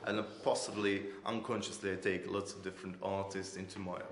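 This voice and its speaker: Male artist interviewee, Croatian accent, fairly low voice, halting delivery, roomy sound. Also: flat delivery, slight background noise. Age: 40s. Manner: hesitant, searching for words. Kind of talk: unscripted interview answers. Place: indoors in an art gallery room. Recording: echoey room.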